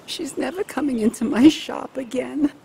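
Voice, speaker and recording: An actress playing a bereaved, sorrowful shop owner in a dramatic video game. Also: female voice, high voice, normal speed, creaky, warm tone, slight background noise, rough recording. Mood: sad, grateful.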